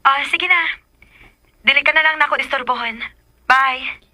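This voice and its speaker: A woman's voice: sits high, unhurried. An actress playing a hurt, clingy woman in unrequited love in a radio drama. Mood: resigned, wounded.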